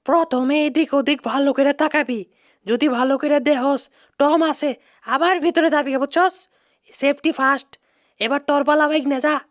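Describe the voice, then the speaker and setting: A performer plays a cartoon mouse uncle who speaks very high and close in a colloquial Bangladeshi accent. He is bossy and boastful, and gets flustered easily, repeating himself. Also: normal speed, dry recording, lightly acted. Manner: excitable, repeats words when panicking. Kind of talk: comic cartoon dub.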